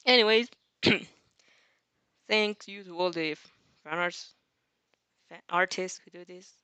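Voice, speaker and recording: A woman's voice: fairly low pitch, takes it slowly, bright, forceful tone, clear, resonant tone, no room sound. A woman hosting a fan fiction reaction, reading aloud expressively and breaking into casual, rambling, giggly asides. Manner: rambling, giggly, often interrupts herself with asides.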